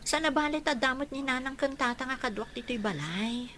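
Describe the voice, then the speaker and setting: An actress playing a young pregnant wife, dutiful and a little whiny, with an Ilocano Filipino accent in radio drama style. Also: female voice, sits high, a little fast, fairly bright tone, a little hiss or hum. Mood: unwell, reassuring. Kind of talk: radio comedy-drama acting.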